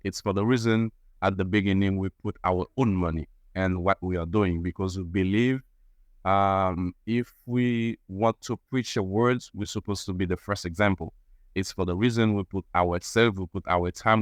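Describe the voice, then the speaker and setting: A man whose first language is French, answering interview questions in halting English as he searches for words. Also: on the low side, no room sound, studio quality. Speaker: guest, association vice president.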